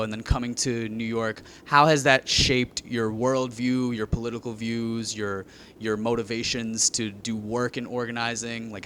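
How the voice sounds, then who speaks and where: A man hosting an interview podcast, asking questions in a measured, articulate way. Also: medium pitch, fairly bright tone, slight background noise. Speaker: podcast host.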